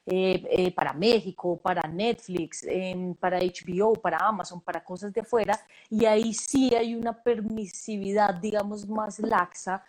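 A woman's voice: mid-range voice, steady tone, normal speed, hardly any pauses, low-quality recording. Casting director lady in her 30s, chatty, keeps restarting her sentences. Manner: thoughtful, full of fillers and restarts. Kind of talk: conversational interview answers.

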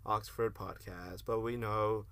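Young man as grandiose philosophy-major hero for comedy radio play; lowish voice, mock-heroic, slangy. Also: steady tone, measured pace, fairly bright tone, no room sound. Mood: megalomaniac. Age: young adult. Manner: pompous mock-heroic declamation mixed with slang.

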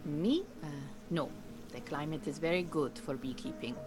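A woman playing a calm, capable Iranian beekeeping teacher in a naturalistic audio drama, giving measured, reassuring instruction. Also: low voice, over music, lightly acted. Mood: calm, instructing. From Iran.

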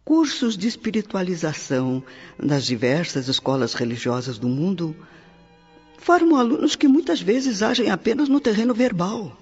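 Animated, earnest Brazilian spirit mentor. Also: male voice, high voice, breathy edge, full character voice. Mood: emphatic, admonishing. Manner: didactic, emphatic, oratorical with rhetorical questions. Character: earnest male spirit mentor lecturing on divine laws. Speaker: radio drama actor. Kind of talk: theatrical Portuguese radio drama monologue. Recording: slightly dull radio production with music. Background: music.

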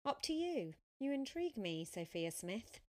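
A famous young heartthrob, voiced by a woman reading a story, cool and a little world-weary. He talks in a teasing, intrigued way, with plenty of movement in the pitch. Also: big pitch swings, moderate pace, darkish tone.

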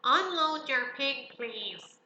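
A woman reading a storybook as a pompous, formal fairground loudspeaker announcer. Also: high-pitched, expressive, slow pace, dull audio, pitched above the performer's own voice, full character voice. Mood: pompous, grand. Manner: pompous, formal, self-important. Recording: roomy echo, faint noise.

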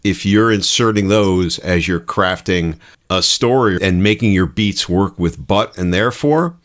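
A man's voice: low-pitched, unhurried, a bit bright. A man talking casually and conversationally as co-host of a show about writing.

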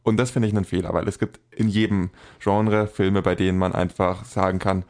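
A man's voice: sits low, little pitch movement, fairly quick, a little mellow. A young man in casual podcast chat, reviewing a film with rambling, self-correcting, emphatic talk. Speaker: podcast co-host.

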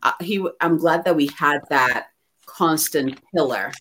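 A woman from Ghana talking candidly and reflectively about herself as a guest, in an expressive conversational way. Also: low-pitched, unhurried, a little hiss or hum. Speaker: guest receiving a card reading.

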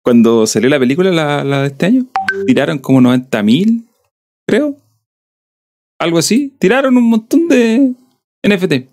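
A man's voice: fairly high pitch, expressive, moderate pace, long pauses, darkish tone. A man from southern Chile with a Chilean accent, hosting a podcast in a rambling, chatty way. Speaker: podcast host. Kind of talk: casual podcast chat.

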